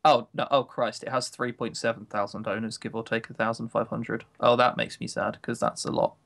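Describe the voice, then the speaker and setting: A man's voice: medium pitch, level tone, brisk, creaky, a bit bright. A man co-hosting a podcast in casual, opinionated chat, grumbling, sarcastic and given to swearing. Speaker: podcast co-host.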